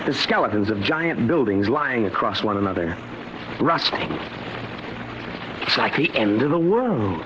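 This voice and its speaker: An actor in an old-time radio drama playing an awed, horrified visitor to the future, speaking hesitantly and earnestly with stammers. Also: male voice, lowish voice, big pitch swings, unhurried, pauses often. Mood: awed, shaken. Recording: noisy, muffled vintage radio recording.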